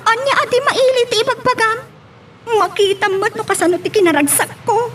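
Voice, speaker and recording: Woman acting a high-voiced young Filipino bride. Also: a little fast. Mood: teasing. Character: affectionate young bride, devoted daughter and granddaughter. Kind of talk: Ilocano radio drama acting. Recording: noticeable background noise.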